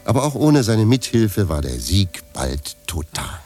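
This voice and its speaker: A man reading scripted narration for a radio play in a measured, literary manner. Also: on the low side, big pitch swings, darkish tone. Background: faint music.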